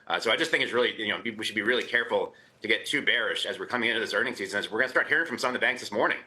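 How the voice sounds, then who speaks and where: A man giving his market views as a guest on a live business news show, talking in a rushed, stumbling, emphatic way. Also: on the low side, expressive, bright, forceful tone, poor audio. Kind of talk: live TV interview.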